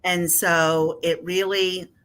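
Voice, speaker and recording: Slow-talking, bright woman co-host. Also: lowish voice, little pitch movement, smooth. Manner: affirming, chatty. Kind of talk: panel discussion.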